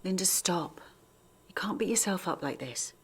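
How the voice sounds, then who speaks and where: A woman's voice: fairly low pitch, lively intonation, normal speed, husky. A woman playing a protective, guarded, worldly-wise friend in a naturalistic audio drama. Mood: soothing.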